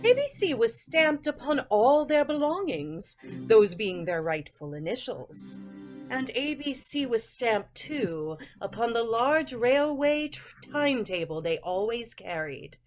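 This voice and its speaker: A woman's voice: a little high, very animated, unhurried. A woman announcing and narrating a scripted old-time radio drama in a dramatic, theatrical manner. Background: prominent music.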